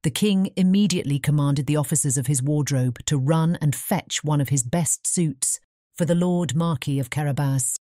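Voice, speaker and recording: A woman's voice: low-pitched, normal speed, mellow, no room sound, clean studio sound. A woman with a British English accent reading scripted fairy-tale narration.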